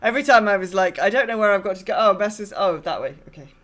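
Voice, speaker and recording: A woman's voice: mid-range voice, animated, fast, fairly bright tone. A woman co-hosting a gaming podcast in a casual, wry style with hesitant phrasing. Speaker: podcast co-host.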